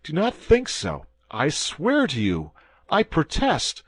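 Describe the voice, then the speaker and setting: An earnest young swordsman hero, played by a male reader, speaks with urgency and fervor, lifted above the reader's low natural voice. His lines move with lively pitch, close and dry. The tone is a classic adventure storybook. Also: slow, slightly rough, no echo, full character voice. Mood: urgent. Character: young male guardsman hero, passionate and alarmed.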